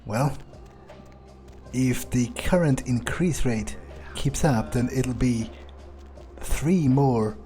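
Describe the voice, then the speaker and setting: A man with a husky voice improvising in-character commentary on a game, casual and sweary as he thinks aloud. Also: mid-range voice, very slow, dark tone, soft background music. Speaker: gamer playing in character.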